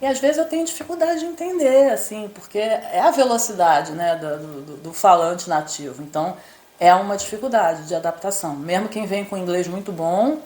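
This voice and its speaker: A woman's voice: mid-range voice, normal speed, warm tone. Chatty Brazilian woman in her fifties. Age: 50s. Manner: chatty, enthusiastic, fillers like "assim". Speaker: co-host, immigrant journalist. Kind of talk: casual chat. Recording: echoey room.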